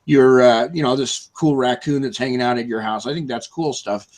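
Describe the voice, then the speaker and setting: A man's voice: medium pitch, normal speed, darkish tone. A man with a chest cold co-hosting a live stream over a video call, chatty and upbeat with lots of fillers. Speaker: co-host. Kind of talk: casual live-stream chat.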